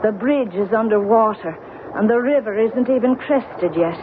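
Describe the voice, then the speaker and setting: A woman's voice: fairly high pitch, moderate pace, noisy background, close to an everyday voice. Actress as brooding Irishwoman on tinny old radio. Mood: grim. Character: older Irishwoman, blunt, brooding, recounting her sister's past. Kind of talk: old-time radio drama acting.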